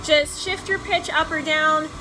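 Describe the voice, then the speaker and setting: A woman's voice: high-pitched, fairly even intonation, unhurried, background noise. A woman hosting a video editing tutorial in a casual, chatty, informal way.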